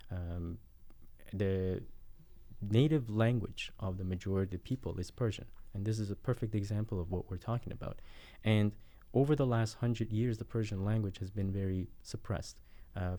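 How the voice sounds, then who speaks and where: A man's voice: low-pitched, mellow. A man speaking as a roundtable guest, earnest and often tagging his points with "right?". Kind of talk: roundtable discussion.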